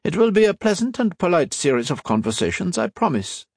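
A man reading as a polite, smoothly menacing French intelligence agent, in a raised voice. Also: high voice, sing-song, a little fast, a little mellow, dry recording, clean studio sound, voice pushed up, exaggerated voice.

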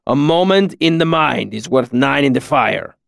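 A man's voice: mid-range voice, animated, creaky, a bit bright, dry recording, clean studio sound. Man voicing a grave, huge master craftsman. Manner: slow, grave, formal, oddly ordered phrasing. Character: huge shaggy master artificer, stern, grave, exacting older mentor. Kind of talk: audiobook character dialogue.

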